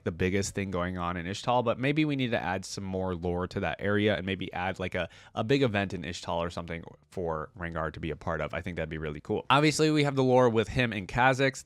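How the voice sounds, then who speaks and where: A man's voice: low voice, fairly quick, few pauses, dry recording, clean studio sound. A man giving casual, informal reaction commentary on a video game, talking directly to viewers.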